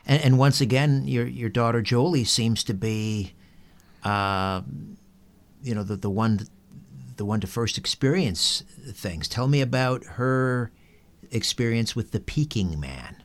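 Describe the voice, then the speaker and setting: A man's voice: medium pitch, a little slow. A man hosting an interview, putting questions and prompts to his guests. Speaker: interview host.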